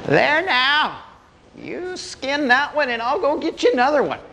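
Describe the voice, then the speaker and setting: High-pitched folksy old granddad. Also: male voice, big pitch swings, unhurried, vocal fry, heavy background noise, full character voice. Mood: sly, calm. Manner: folksy, hesitant questioning that breaks into shrill hollering. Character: wily old backwoods granddad, folksy and feigning bewilderment.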